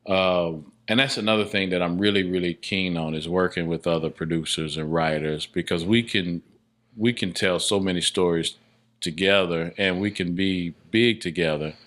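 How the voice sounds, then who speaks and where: Deep-voiced man in casual interview. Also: moderate pace, a bit bright. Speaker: podcast guest, filmmaker.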